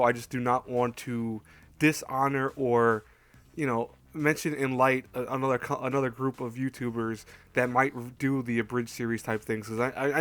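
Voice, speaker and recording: A man's voice: mid-range voice, fairly bright tone, no echo. A man hosting a YouTube show with casual opinion commentary, often hedging and correcting himself mid-sentence. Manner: hedging, halting, self-correcting. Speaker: YouTube host.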